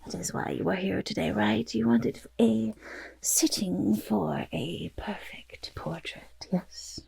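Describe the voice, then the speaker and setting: Very creaky, raspy goblin artist. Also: female voice, medium pitch, takes it slowly, no echo, exaggerated voice. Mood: fussy flattery. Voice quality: raspy, creaky, breathy half-whisper. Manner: third-person self-talk, hesitant pompous flattery. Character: vain, fussy, cautiously flattering goblin portrait artist. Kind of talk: ASMR roleplay, soft personal attention.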